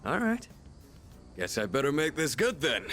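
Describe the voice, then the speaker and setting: A man's voice: fairly high pitch, huge pitch swings, moderate pace, fairly bright tone. An actor voicing a confident, stoic, athletic older teenage boy in a video game, understated and cool. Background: game music.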